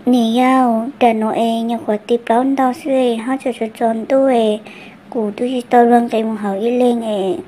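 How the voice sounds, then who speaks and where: A woman's voice: on the high side, fairly even intonation, moderate pace, mellow, a little hiss or hum, close to an everyday voice. A woman voicing a female character in a family folk-tale cartoon. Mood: conversational.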